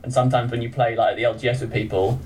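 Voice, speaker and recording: A man's voice: medium pitch, fairly even intonation, racing, a little mellow, a little hiss or hum, rough recording. Chatty English man, laughing a lot. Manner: chatty, self-deprecating, laughs often. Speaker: podcast guest, game streamer. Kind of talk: casual chat.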